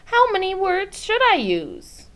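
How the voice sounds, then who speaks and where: Woman voicing an eager little girl, very animated. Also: very high voice, measured pace, pitched above the performer's own voice, heavily acted. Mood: puzzled. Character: eager, articulate young girl pleading her case.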